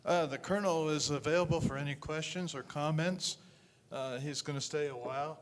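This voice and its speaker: A man's voice: a little high, fairly even intonation, fairly quick. A man hosting a live event, making an informal announcement to the audience. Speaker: event host. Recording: distant, echoey hall with faint noise.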